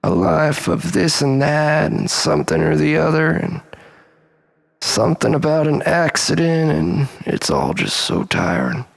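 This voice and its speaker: Slow husky man in long reverb. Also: on the high side, expressive. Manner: rambling, melodramatic, mock-philosophical. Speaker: storyteller. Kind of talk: dramatic comic monologue storytelling. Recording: long reverberant hall echo.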